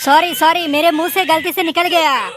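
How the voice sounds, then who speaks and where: A man voicing a stubborn, defiant, mouthy male landlord in a comic cartoon, with a pitched-up voice and insistent delivery. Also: fairly even intonation, normal speed, noisy background. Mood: blustering. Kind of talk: exaggerated comic cartoon dialogue.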